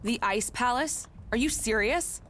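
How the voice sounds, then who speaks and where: An actress playing a brash, foul-mouthed, bloodthirsty outlaw warrior in a video game, sneering and cocky. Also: female voice, on the high side, measured pace, fairly bright tone, a little hiss or hum. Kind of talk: dramatic game dialogue.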